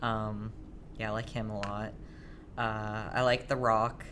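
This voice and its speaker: A woman co-hosting a casual group chat, thinking aloud in a halting way. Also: a bass voice, flat delivery, noisy background. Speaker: podcast co-host.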